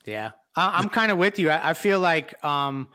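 A man's voice: a little high, very animated, normal speed, bright, forceful tone, smooth, no room sound. A man chatting casually about sports as a podcast panelist.

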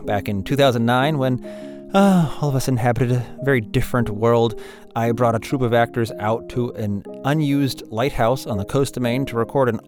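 A man hosting a podcast, making an enthusiastic, semi-scripted announcement. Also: mid-range voice. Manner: upbeat, enthusiastic. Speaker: podcast host and producer. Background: music.

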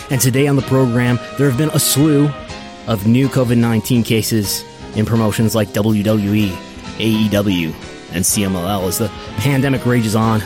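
An American man hosting a podcast, reading through news in a deliberate, announcer-like way. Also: mid-range voice. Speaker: podcast host.

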